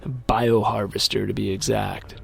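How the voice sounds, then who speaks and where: An actor playing a cocky, bitter ranger pilot with a colloquial way of talking in an audio drama. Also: male voice, on the low side, flat delivery, moderate pace, vocal fry, a bit bright, breathy edge, full character voice. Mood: reflective, bitter. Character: bitter, cocky male ranger pilot narrating his story.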